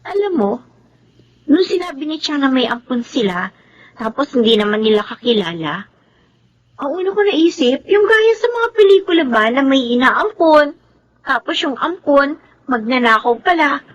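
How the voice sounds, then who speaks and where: A woman's voice: high-pitched, big pitch swings, measured pace, long pauses, poor audio. A woman with a Filipino accent acting a frank, teasing but kind teenage girl in a radio drama. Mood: playful, teasing. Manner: playful, outspoken.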